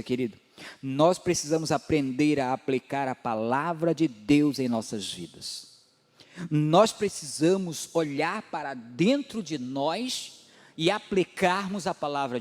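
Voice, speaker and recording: A man in his forties preaching a sermon as pastor, building to emphatic rises and dropping low again. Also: darkish tone. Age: 40s. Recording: long hall reverb.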